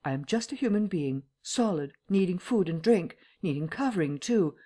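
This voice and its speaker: A woman reading an audiobook voices an impatient, commanding invisible man who speaks in a quiet, clipped, insistent way. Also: on the low side, lively intonation, moderate pace, voice pushed up. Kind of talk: audiobook character voice.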